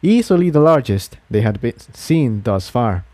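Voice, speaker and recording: A man narrates an adventure story from a script. His voice sits at an ordinary middle pitch. The recording is dry and close, with almost no room to it. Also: very animated, moderate pace, mellow, dry recording. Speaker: fan fiction narrator.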